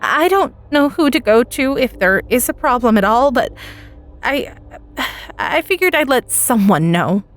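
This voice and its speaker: A woman playing an anxious high school freshman girl who tells her story in a hesitant, stammering way. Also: sing-song, measured pace. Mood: nervous. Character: nervous teenage freshman girl recording during a school lockdown. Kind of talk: dramatic first-person audio story narration.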